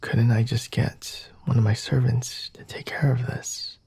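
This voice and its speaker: A man plays a refined, flirtatious vampire lord in an intimate ASMR roleplay. His voice is very breathy and slips often into whispers, with a creaky, gravelly edge. He speaks slowly and formally, teasing the listener in a mellow tone, recorded close and dry. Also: medium pitch, level tone, dry recording, heavily acted. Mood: smooth, teasing. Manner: formal, teasing, measured and deliberate. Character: flirty, refined male vampire master, coy and unhurried.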